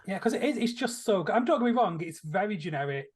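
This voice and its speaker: A man's voice: high-pitched, expressive, fast, slightly rough. A man co-hosting a podcast in casual film chat, acting out imagined remarks as he explains. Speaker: podcast co-host.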